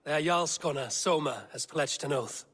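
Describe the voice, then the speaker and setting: A man playing a calm, confident Viking clan leader in a video game, measured and dry. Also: fairly high pitch, breathy edge. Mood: businesslike. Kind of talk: video game character acting.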